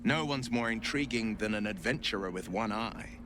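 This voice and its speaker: A man's voice: on the low side, normal speed, background noise, natural voice. A man playing a gallant, roguish adventurer in a video game, talking with eloquent, theatrical flourish. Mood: jovial, reassuring.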